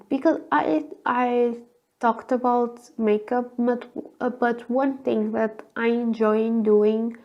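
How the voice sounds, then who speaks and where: A woman with a thin, girly voice, hoarse from a cold, chatting alone on camera and often searching for words. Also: fairly high pitch, highs cut off. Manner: hesitant, searching for words, frequent fillers. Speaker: vlogger. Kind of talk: casual solo chat.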